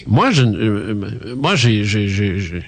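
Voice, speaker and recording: A man's voice: fairly low pitch, sing-song, slow pace, vocal fry, slight background noise. A man hosting a radio interview, talking hesitantly with many fillers and restarts. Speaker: radio host. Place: radio studio.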